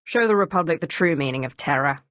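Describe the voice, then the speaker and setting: A woman voicing an imperious, cunning young Sith sorceress in a video game, with a haughty, deliberate, theatrical delivery. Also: sits low, lively intonation, a bit bright, no echo, studio quality. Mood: cool menace.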